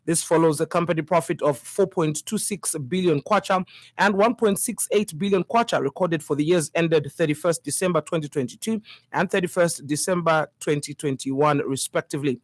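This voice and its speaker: A woman's voice: low voice, normal speed, dry recording. Female newsreader, Zambian accent, formal bulletin read, dry studio-style sound. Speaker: radio news reader. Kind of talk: scripted news bulletin reading.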